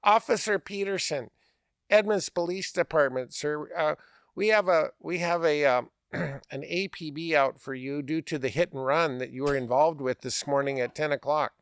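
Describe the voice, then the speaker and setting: A man's voice: on the high side, normal speed, a bit bright, smooth, no echo. A man playing a stern, insistent male police officer with a formal, commanding way of talking, in a prank retelling. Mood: stern, officious. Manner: formal, commanding, repeatedly says "sir".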